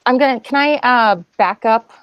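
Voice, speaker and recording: A woman's voice: fairly high pitch, normal speed, clean tone, no echo, muffled recording. A woman chatting casually as a tabletop game player, hesitant and questioning. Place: indoors, online voice call.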